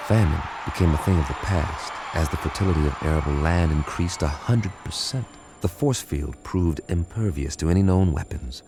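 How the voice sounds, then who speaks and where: Low, creaky, world-weary narrator. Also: male voice, darkish tone, full character voice. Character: weary, sardonic male codebreaker telling his story. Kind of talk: radio drama narration and dialogue.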